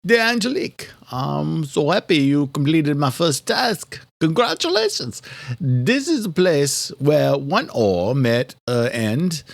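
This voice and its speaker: A man voicing a gloating, lovesick serial killer in an audiobook, with drawn-out, theatrical menace. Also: big pitch swings, creaky, dry recording, full character voice. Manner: drawn-out, theatrical, raised-pitch delivery. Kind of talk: audiobook villain reading a letter.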